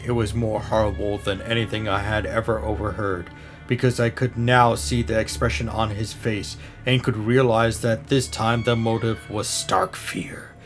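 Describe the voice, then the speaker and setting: A man reading a horror story aloud in a dramatic, intense style, swelling and dropping to hushed phrases. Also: medium pitch. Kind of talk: dramatic scripted audiobook narration of a horror story. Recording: music behind the voice. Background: instrumental music.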